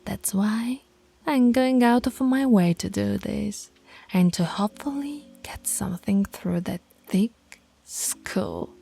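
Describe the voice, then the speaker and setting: A woman voicing a dominant female alpha werewolf in an intimate roleplay, commanding yet affectionate, with a breathy, husky voice and slow, deliberate delivery. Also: medium pitch, warm tone, heavily acted. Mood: stern, commanding. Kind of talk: intimate ASMR roleplay voice acting.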